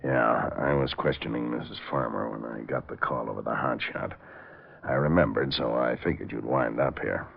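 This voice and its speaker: An American actor playing a sober, terse, matter-of-fact police officer in a 1940s radio drama. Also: male voice, rumbling low, normal speed, vocal fry, husky, heavily acted. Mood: grim, matter-of-fact. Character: sober hard-working male police officer. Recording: muffled, faintly noisy old radio recording.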